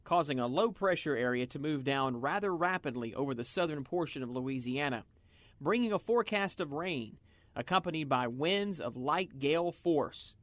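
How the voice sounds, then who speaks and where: A man's voice: a little high, animated, normal speed, fairly bright tone, dry recording. An actor playing a matter-of-fact male weather reporter in a radio drama, reading formally.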